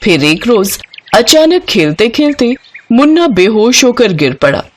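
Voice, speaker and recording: A woman storyteller reading a scripted bedtime moral tale in an expressive narrating style. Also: fairly high pitch, unhurried.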